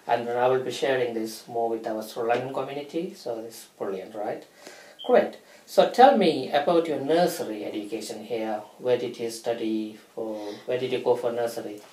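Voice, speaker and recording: A man's voice: medium pitch, moderate pace, hardly any pauses, a little mellow, a little hiss or hum. A man interviews a child as an educator, speaking with phrasing and encouraging him often with "great" and "brilliant". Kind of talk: interview questions. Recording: roomy, from a distance.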